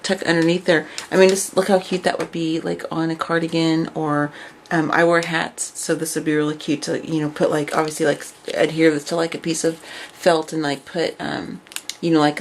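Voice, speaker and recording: Woman, raspy worn-out voice, low, chatty craft show-and-tell, faint background hiss. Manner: chatty, filler-heavy, enthusiastic. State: losing her voice, raspy. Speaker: craft vlogger.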